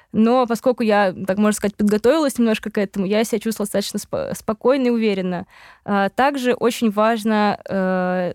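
A woman's voice: mid-range voice, a little mellow, no echo, studio quality. A young woman answering interview questions about her internship, with frequent hesitations and restarts. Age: young adult. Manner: hesitant, many fillers and restarts.

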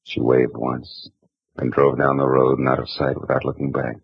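An actor playing a world-weary private detective, narrating laconically in a 1950s radio drama. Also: male voice, a bass voice, level tone, moderate pace, slightly rough, no echo, full character voice. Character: hard-boiled male private eye, world-weary, wry. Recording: dull old radio recording.

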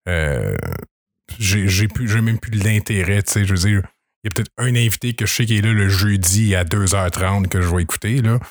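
A man with a Québécois accent hosting a podcast, talking in a relaxed, conversational way. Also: on the low side, moderate pace, no echo, clean studio sound. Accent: Québécois French. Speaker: podcast host. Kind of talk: casual podcast chat.

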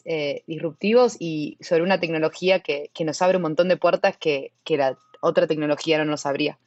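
An Argentine woman talking as a guest and product lead in a relaxed interview, fairly fluent with small restarts. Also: sits low, no room sound, muffled recording. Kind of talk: casual interview talk.

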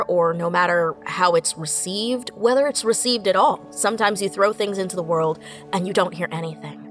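A woman speaking in an earnest, encouraging and emphatic way in a reflective panel conversation, often repeating phrases to press a point. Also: on the low side. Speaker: audio fiction writer on a panel. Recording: music playing behind the voice.

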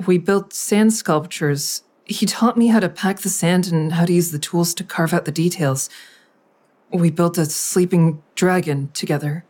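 An actress playing a plain-spoken, wounded grown daughter in naturalistic audio drama dialogue. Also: female voice, fairly low pitch, unhurried, a little mellow. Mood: wistful. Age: adult.

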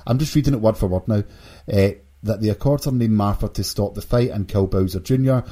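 Male podcast host, Glaswegian, lowish husky voice, joking and casual delivery. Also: brisk, dark tone. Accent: Glaswegian Scottish. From Glasgow, Scotland. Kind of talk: casual pop culture podcast banter.

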